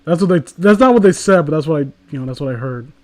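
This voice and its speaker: A man's voice: fairly high pitch, lively intonation, quick pace, a little mellow. A man co-hosting with casual, crude banter, talking in a mock-boastful way. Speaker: podcast co-host.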